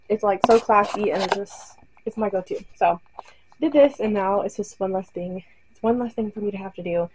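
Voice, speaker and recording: A woman's voice: medium pitch, darkish tone, slight background noise, poor audio. A young woman talking casually to the camera in her bedroom, rambling with lots of fillers. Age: young adult. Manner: chatty, rambling, many fillers. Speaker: vlogger. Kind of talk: casual vlog talk to camera. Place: bedroom at home. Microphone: webcam or phone camera close up.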